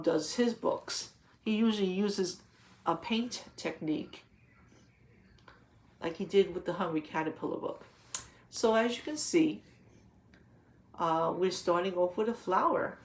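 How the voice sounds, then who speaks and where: A woman reads a picture book aloud as a teacher would to young children. Her pace is slow and her pitch sits in a middle range. She pauses to explain things simply and patiently, posing questions as she goes.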